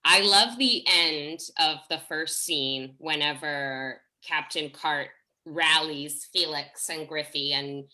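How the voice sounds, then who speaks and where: A woman's voice: low-pitched, slow pace, pushed and bright. A woman speaking effusively and enthusiastically in a casual discussion, as a theater director and teacher.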